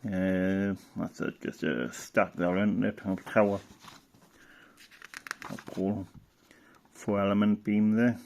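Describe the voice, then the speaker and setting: Low, creaky-voiced man, casual commentary. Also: steady tone, slow pace, pauses often, darkish tone. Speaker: hobbyist presenter. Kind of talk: casual unscripted commentary while browsing a catalogue.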